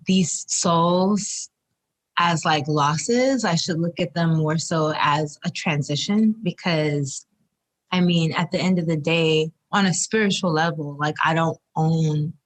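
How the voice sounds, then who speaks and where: A woman's voice: low voice, takes it slowly. A young woman from Trinidad, a doula and guest, talking reflectively in a casual interview with many fillers and restarts. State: three months postpartum.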